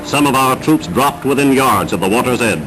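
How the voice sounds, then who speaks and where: A man's voice: mid-range voice, lively intonation, a little fast, poor audio. A man reading a wartime newsreel script in a formal, emphatic, declamatory 1940s style. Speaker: newsreel narrator. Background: dramatic newsreel music.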